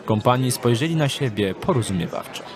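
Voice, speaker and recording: A male narrator tells a scripted story in audio drama style. His voice sits at a medium pitch. Quiet music runs underneath. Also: animated, fairly quick. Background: background music.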